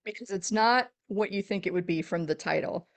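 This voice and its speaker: A middle-aged woman from Texas with a deep voice, a podcast guest chatting casually and telling animated stories. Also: a little fast. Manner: animated storyteller, laughs often. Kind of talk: casual interview chat.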